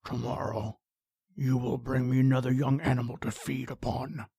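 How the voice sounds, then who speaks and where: An actor playing a cruel, domineering vampire brother in a horror radio play, with a gravelly, husky voice and a commanding, sneering manner. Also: male voice, medium pitch, little pitch movement, normal speed, mellow. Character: cruel, domineering undead vampire brother, menacing from his coffin. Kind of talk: old-time radio horror acting.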